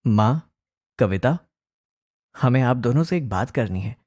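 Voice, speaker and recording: Concerned adult son and mediator, family cartoon, male actor; mellow, unhurried, earnest. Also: medium pitch, animated. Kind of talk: Hindi animated family drama acting.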